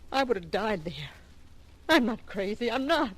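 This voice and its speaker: An actress playing a proud, polite elderly mother with a dark secret in an old-time radio detective drama. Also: on the high side, big pitch swings, normal speed, rough recording. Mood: anguished, defiant. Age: older. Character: proud elderly mother, a murderer, defiant and wounded. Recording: vintage radio show recording.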